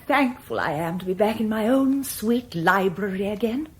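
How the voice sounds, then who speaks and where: A woman's voice: mid-range voice, lively intonation, normal speed, natural voice. Actress playing an affected, swooping English society queen bee. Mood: gushing, affected. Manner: affected, theatrical, self-important. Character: imperious affected middle-aged society queen bee, a woman of forty. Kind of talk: British radio comedy drama acting.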